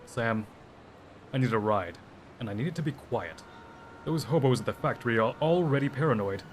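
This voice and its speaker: An actor playing a dutiful, wry police detective in an audio drama, with a dry, earnest noir delivery. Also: male voice, medium pitch, animated, moderate pace, slight background noise, natural voice. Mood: stern, hushed.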